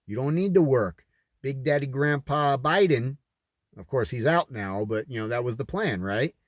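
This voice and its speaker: A man's voice: medium pitch, lively intonation, moderate pace, dry recording, studio quality. A man reading aloud and adding his own off-the-cuff commentary, with emphatic, rhetorical delivery. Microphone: recording himself on camera.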